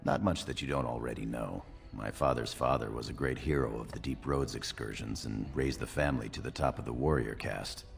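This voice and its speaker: An actor playing a loyal, earnest dwarf warrior in a fantasy game, speaking formally and deferentially. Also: male voice, very deep voice, a little fast, vocal fry, hardly any pauses, soft background music. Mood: earnest, respectful.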